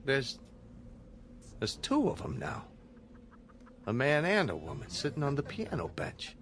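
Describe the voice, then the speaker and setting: An actor playing a plain-spoken museum guard in a radio drama. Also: male voice, mid-range voice, wildly swooping pitch, unhurried, a bit bright, a little hiss or hum. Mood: matter-of-fact.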